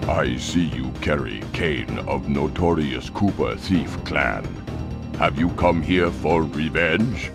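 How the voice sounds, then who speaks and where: A man's voice: very deep voice, loud music behind the voice, full character voice. A man voicing a pompous, menacing giant panda crime boss in a cartoon video game, speaking formally. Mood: haughty, menacing. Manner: slow, formal, menacing delivery.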